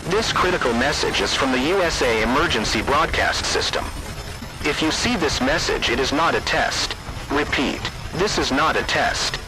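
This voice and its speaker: A man reading scripted emergency alert announcements in a formal, deliberate manner. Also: medium pitch, lively intonation, low-quality recording. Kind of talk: scripted emergency broadcast narration.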